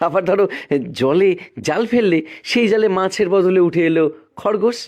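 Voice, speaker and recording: A man's voice: sits high, normal speed, a little mellow, lightly acted. A man playing a poor, anxious, scheming village farmer in a cartoon, with a theatrical, coaxing way of talking. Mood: coaxing, persuading. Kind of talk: cartoon voice acting.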